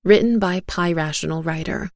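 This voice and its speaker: A woman reading a first-person dramatic story in a suspenseful, deliberate way, as a scripted narrator. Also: fairly low pitch, dry recording, clean studio sound. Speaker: narrator and voice actor. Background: faint music.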